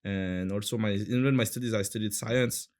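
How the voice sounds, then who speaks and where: A man's voice: on the low side, fairly even intonation, normal speed. A man in his thirties from France with a French accent, chatting casually as an interview guest, searching for words with many fillers and restarts.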